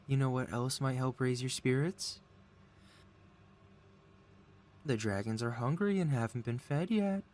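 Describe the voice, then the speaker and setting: A man playing a possessive mad scientist supervillain boss in an ASMR audio roleplay, talking in a soothing, coaxing, flattering way as if innocent. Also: on the high side. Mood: soothing, cheerful. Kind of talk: ASMR audio roleplay, intimate one-sided dialogue.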